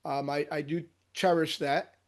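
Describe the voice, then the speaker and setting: A man in his sixties, born in Cuba, speaks with a somewhat high voice for a man. He recounts his path into surgery in a relaxed interview, animated one moment and dropping quiet the next. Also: a little slow. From Cuba, raised in New Jersey. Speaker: guest, orthopedic hand surgeon. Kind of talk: casual interview storytelling.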